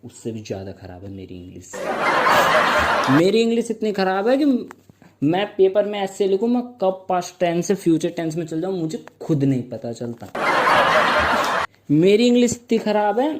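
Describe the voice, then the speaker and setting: A young man performing a stand-up comedy set, telling stories with slow mock-grand stretches and playful sound effects. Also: fairly high pitch, very animated, heavy background noise.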